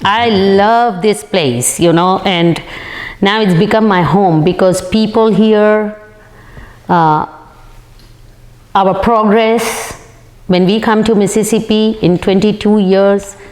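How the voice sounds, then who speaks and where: A woman in her fifties with a Pakistani accent, answering interview questions in halting, non-native English. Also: medium pitch, darkish tone. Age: 50s. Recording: reverberant hall-like room.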